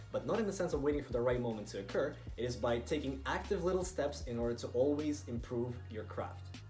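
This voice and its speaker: Young man, from Estonia, rapid speech, earnest emphatic motivational narration, music underneath. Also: medium pitch, darkish tone. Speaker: video creator narrating. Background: background music.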